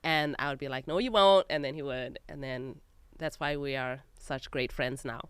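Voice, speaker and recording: Smooth, dry-sounding woman from Zimbabwe. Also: rumbling low, normal speed, no echo. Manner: laughs often, lighthearted storytelling. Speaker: guest, magazine editor. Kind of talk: casual interview.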